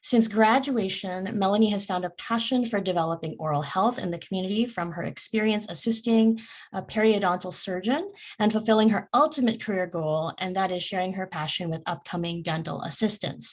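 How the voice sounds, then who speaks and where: A woman hosting a webinar, introducing a colleague with warm, personal praise and steering the talk. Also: on the low side. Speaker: webinar host.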